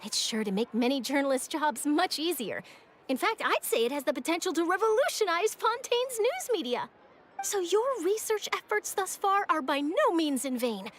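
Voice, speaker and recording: A woman's voice: extremely high pitch, very animated, moderate pace, a little hiss or hum. A woman voicing an eager, earnest and articulate young female journalist in a video game. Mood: reassuring. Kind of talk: English video game voice acting.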